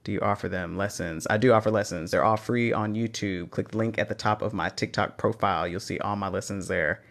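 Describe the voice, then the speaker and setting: Man, Texan accent, slightly low voice, casual chat on a phone live stream, upbeat coaching tone. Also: steady tone, a little fast, gravelly, fairly bright tone. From Texas. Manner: encouraging, reads out and answers chat questions. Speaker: language teacher hosting a live Q&A. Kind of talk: casual livestream Q&A.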